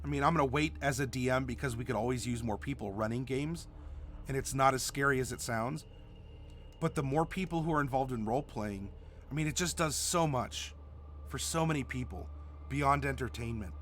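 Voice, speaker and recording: Guy hosting a stream w a cold, stuffy and kinda hoarse, rambles a lot, faint hiss behind. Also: medium pitch, brisk, fairly bright tone. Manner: rambling, many restarts and fillers. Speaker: stream host. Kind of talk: casual live-stream talk.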